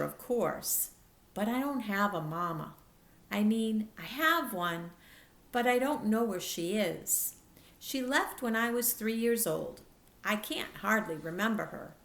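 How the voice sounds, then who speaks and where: Woman as an earnest, lonely ten-year-old girl, creaky edge. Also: mid-range voice, fairly bright tone, roomy sound, heavily acted. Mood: confiding, lonely.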